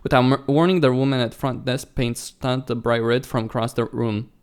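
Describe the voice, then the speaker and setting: A man's voice: mid-range voice, fairly even intonation, moderate pace, clean tone. A man hosting a let's play, reading a visual novel aloud and sometimes stumbling over the words, with music behind him. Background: background music.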